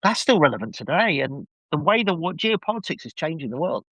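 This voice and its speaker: A man speaking as a podcast guest in casual discussion, halting and repeating words as he builds his point. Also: on the high side, very animated, pushed and bright, no echo, low-quality recording.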